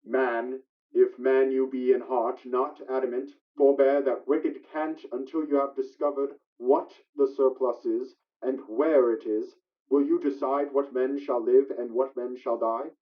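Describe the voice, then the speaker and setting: A man's voice: medium pitch, steady tone, unhurried, lightly acted. A man playing a solemn, stern Christmas spirit in a radio play, speaking in a grave, declamatory way. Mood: stern rebuke. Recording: phone-like, thin lo-fi sound.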